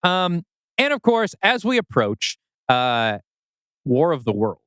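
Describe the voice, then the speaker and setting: A man's voice: on the high side, sing-song, takes it slowly, a bit bright, clear, resonant tone, dry recording, studio quality. A man co-hosting a podcast in casual chat, reading out listener comments in a playful, conversational way. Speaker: podcast co-host.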